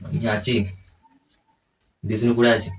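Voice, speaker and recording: A man's voice: lowish voice, flat delivery, measured pace, creaky. A young man chatting casually as a prank caller, in a teasing, coaxing manner. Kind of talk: casual phone chat. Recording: distant mic, faint background noise.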